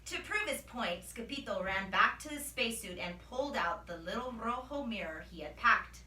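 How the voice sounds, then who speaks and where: A woman reading a children's story aloud as a teacher, with expressive, animated narration. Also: a little high, normal speed, a little hiss or hum. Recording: echoey room, distant microphone.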